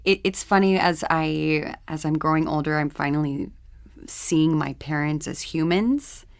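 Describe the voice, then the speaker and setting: Woman chatting on a panel, really low voice, no echo, casual and reflective. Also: a little slow, vocal fry. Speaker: panel guest, actor.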